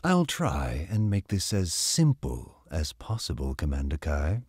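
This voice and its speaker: A man's voice: extremely low pitch, wildly swooping pitch, a little slow, darkish tone, breathy edge, dry recording, clean studio sound, heavily acted. A man playing a haughty, pampered aristocrat commander in a video game, speaking with pompous condescension. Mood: condescending. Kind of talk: dramatic game cutscene acting.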